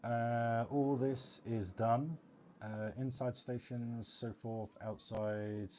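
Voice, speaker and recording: A man giving casual, halting, rambling commentary on a gaming livestream. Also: mid-range voice, steady tone, warm tone, slight background noise. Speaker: livestream host.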